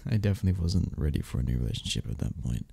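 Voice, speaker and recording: A man acting a deep, gravelly, gentle flirt. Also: expressive, racing, exaggerated voice. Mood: reflective, candid. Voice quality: gravelly, husky. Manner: soft-spoken, reassuring, hesitant and considerate. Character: gentle, patient, flirty male cuddle club member. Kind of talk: intimate ASMR romance roleplay.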